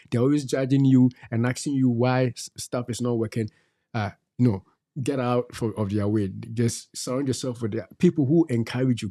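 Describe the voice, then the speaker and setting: A man's voice: medium pitch, mellow. A middle-aged man gives faith-based advice on a talk show. He exhorts his listeners and repeats his key phrases like a preacher. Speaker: co-host.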